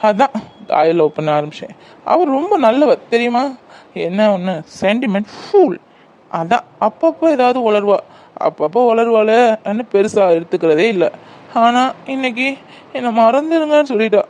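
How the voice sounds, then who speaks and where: A man's voice: high-pitched, a little mellow, a little hiss or hum. A man playing a hungover, heartbroken young man who mutters to himself in a groggy, self-pitying way. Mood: remorseful.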